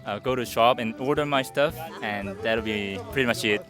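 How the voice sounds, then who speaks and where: A man's voice: medium pitch, normal speed, fairly bright tone. Guy from south korea, simple answers, faint music. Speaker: tourist interviewee.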